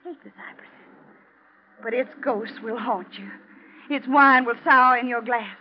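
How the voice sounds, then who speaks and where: A woman's voice: fairly high pitch, steady tone. An actress playing a vengeful wife who coldly taunts her murderous husband, theatrical and ominous, in an old-time radio thriller. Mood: cold menace. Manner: ominous, deliberate, mocking, theatrical. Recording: muffled, noisy old radio drama recording.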